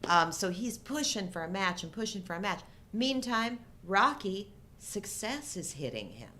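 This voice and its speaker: A middle-aged woman co-hosting a show in casual movie chat, talking informally in halting fragments. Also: very animated, bright, forceful tone. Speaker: co-host of a live chat show. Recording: low rumble and background noise.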